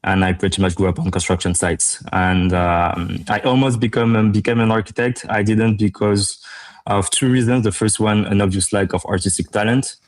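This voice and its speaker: A man from Morocco speaking as a startup co-founder in an interview, pitching his business conversationally with emphatic repetition. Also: little pitch movement, normal speed.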